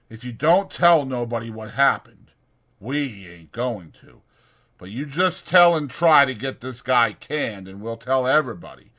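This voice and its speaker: A fair-minded, authoritative male ranch hand as a man reads him in a dramatized audiobook. He talks in a folksy, firm way with a bright, forceful tone. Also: medium pitch.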